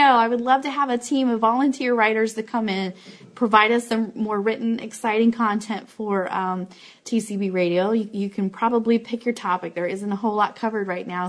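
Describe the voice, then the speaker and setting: A woman hosting a fan live show, chatting informally to viewers as she makes announcements and thanks them. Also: mid-range voice. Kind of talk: casual livestream announcements to viewers. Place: office.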